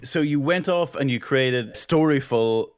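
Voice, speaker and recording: A man's voice: medium pitch, a little slow. A man hosting a podcast, asking questions in a conversational interview style.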